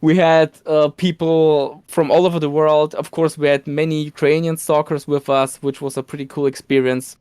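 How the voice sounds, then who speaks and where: Smooth-voiced man telling excited stories. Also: a little high, moderate pace, few pauses, no room sound, clean studio sound. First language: Polish. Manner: excited storytelling with laughs and restarts. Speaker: podcast guest, cosplayer. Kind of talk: casual podcast chat.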